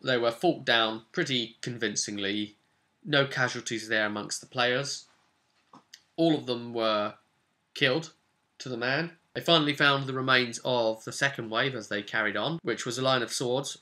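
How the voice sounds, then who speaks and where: Male game master, bright forward voice, chatty storytelling recap of a fantasy campaign. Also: medium pitch, unhurried. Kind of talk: informal storytelling recap to camera.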